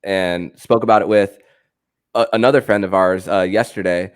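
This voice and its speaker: A man hosting a casual sports podcast, talking in a halting way that often stammers and repeats words and phrases. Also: low voice, fairly even intonation, clear, resonant tone, no room sound, studio quality.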